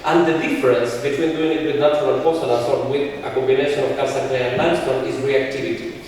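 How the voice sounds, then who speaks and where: Man from Chile at a conference lectern, mid pitch, methodical walk through his slides, mic well away in a big reverberant hall. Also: fairly even intonation, quick pace, darkish tone, a little hiss or hum. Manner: explanatory, walks through slides with frequent restarts. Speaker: conference speaker. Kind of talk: technical conference talk. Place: large lecture hall. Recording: echoey hall from far off.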